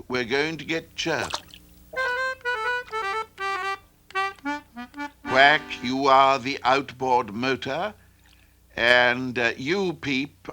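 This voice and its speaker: Storybook kitten, male reader: self-assured, bossy, smooth-talking, lively intonation. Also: mid-range voice. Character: smug, bossy young tomcat who loves birds.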